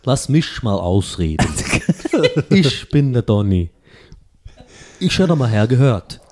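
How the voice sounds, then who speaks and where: A man's voice: mid-range voice, sing-song, slow pace, darkish tone. A man putting on a chummy, insistent Cologne pub regular in jokey podcast banter.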